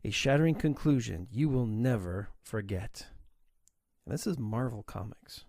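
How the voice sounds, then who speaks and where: A man's voice: medium pitch, expressive, moderate pace, darkish tone, no echo, studio quality, faint music behind. A man hosting and narrating an amateur audio drama. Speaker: channel host and narrator.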